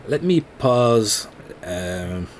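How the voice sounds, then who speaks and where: A man with a French accent, thinking aloud in halting, casual commentary while playing a management game on his computer. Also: on the low side, animated, slight background noise. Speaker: let's play host.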